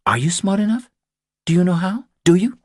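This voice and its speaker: A man voicing a small, cowed, desperate woman in an audiobook, pleading in an urgent, breathy way. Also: high voice, expressive, moderate pace, a little mellow, no room sound, clean studio sound, pitched above the performer's own voice. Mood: urgent, insistent.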